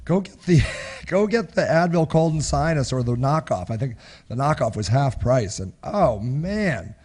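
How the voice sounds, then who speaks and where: A middle-aged man with a raspy, husky voice from a cold, hosting a live webinar in casual, rambling chat with many restarts. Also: medium pitch, expressive, unhurried, a little mellow. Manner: rambling, many restarts and chuckles, gestures with hands. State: recovering from a cold. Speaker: webinar host. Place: small home office, indoors. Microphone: studio microphone close in front.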